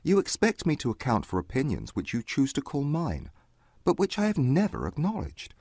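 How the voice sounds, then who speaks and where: An actor playing a proud, reserved young gentleman in a dramatised period novel, speaking in formal, precise arguments. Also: mid-range voice, huge pitch swings, moderate pace, husky, no room sound, studio quality, heavily acted. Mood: argumentative. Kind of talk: dramatised classic novel audiobook.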